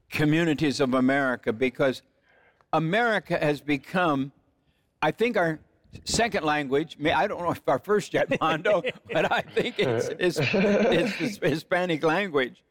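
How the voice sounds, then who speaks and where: High-voiced host pastor asking questions. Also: male voice, animated, normal speed, a bit bright, dry recording, studio quality. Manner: friendly, asks short leading questions. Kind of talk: casual talk-show interviewing.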